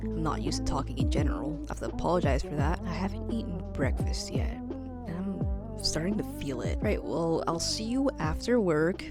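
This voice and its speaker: Young woman, low voice, self-filmed home vlog, rushed and stumbly delivery. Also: very animated, over loud music. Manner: quick talker who stumbles over words. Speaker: vlogger. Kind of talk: casual chat to camera. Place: at home, indoors.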